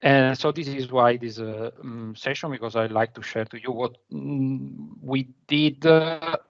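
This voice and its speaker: A man in his forties from Italy with an Italian accent, giving a remote conference presentation from his office and often pausing to search for words. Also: mid-range voice, lo-fi sound. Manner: halting, searching for words between phrases. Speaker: conference speaker.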